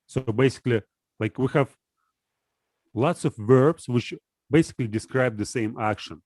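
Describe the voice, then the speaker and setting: Man, halting and hesitant, casual discussion, medium pitch, dry room sound. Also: a little mellow, no room sound. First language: Russian. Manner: hesitant, halting, searching for words.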